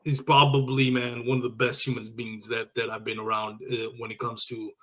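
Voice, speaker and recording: A man's voice: medium pitch, level tone, moderate pace, fairly bright tone. A man from Puerto Rico hosting a casual live interview show, chatting in a conversational way. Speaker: show host.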